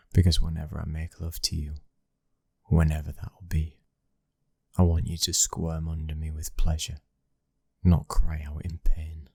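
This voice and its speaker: A young British mafia heir, acted by a man, cold, dominant and protective. His very deep voice is husky and breathy, and barely rises or falls. He speaks slowly in a hushed ASMR roleplay, close and dry over faint music. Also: no room sound, full character voice. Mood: softer, reassuring. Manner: slow, hushed, commanding. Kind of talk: ASMR boyfriend roleplay acting.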